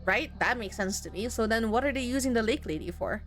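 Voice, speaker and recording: A woman giving casual, reactive let's-play commentary on a horror game, thinking out loud in an informal way. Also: on the high side, lively intonation, a little fast. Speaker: game streamer playing a horror visual novel. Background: game music.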